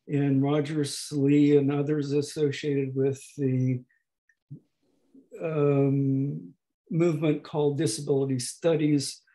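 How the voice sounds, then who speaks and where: A man in his eighties lecturing slowly over a video call, with a hoarse, gravelly voice that is not fully distinct and that often pauses and restarts. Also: on the high side, dark tone, poor audio. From United States. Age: 80s. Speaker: professor emeritus giving a talk. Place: home room at a desk. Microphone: webcam on a video call.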